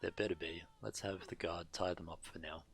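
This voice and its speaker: An actor playing a grim, weary adventurer in a dark fantasy game, speaking in terse, grave mutters. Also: male voice, low voice, fairly even intonation, fairly quick, gravelly, slight background noise. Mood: grim, urgent. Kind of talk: dramatic video game voice acting.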